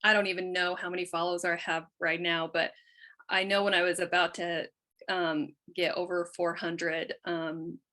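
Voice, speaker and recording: A woman's voice: lowish voice, level tone, normal speed, sharp tone. A middle-aged woman talking as a podcast guest in a casual conversation, chatty and candid, often trailing off mid-thought. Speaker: guest, quilt artist.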